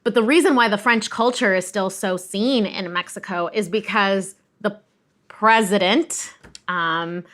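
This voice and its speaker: Woman, bright forceful voice, conversational expert guest who explains with emphasis and a teacherly bent. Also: medium pitch, unhurried. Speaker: guest expert, museum director of education. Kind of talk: explanatory conversational history telling.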